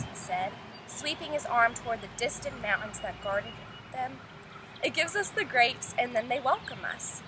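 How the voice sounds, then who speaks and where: A woman teacher reading a story aloud to children, speaking to her young listeners directly, recorded outdoors. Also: fairly high pitch. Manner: addresses young listeners with questions and prompts. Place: outdoors in the open. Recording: noisy windy outdoor recording with traffic.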